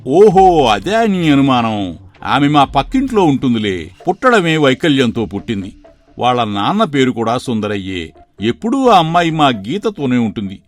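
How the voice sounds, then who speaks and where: A man voicing a kindly middle-aged father in an animated story, fond of his daughter and stern when he scolds. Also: medium pitch, moderate pace, lightly acted. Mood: explaining.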